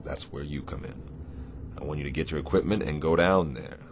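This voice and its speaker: A man playing a stern, weary Navy destroyer captain in a radio drama, clipped and deliberate in his orders. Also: rumbling low, slight background noise. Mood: firm, brisk.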